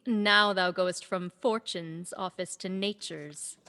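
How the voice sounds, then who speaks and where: A woman's voice: on the low side, steady tone, takes it slowly, vocal fry, sharp tone, heavily acted. A woman playing a wistful but witty young noblewoman, a banished duke's daughter, in a Shakespearean radio play. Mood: curious.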